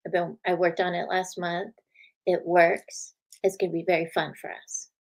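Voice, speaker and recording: A woman's voice: fairly low pitch, little pitch movement, moderate pace. A woman hosting a spiritual show in a casual chat, greeting viewers by name and laughing often, her voice a little scratchy tonight. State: slightly scratchy voice. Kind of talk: casual live-stream chat.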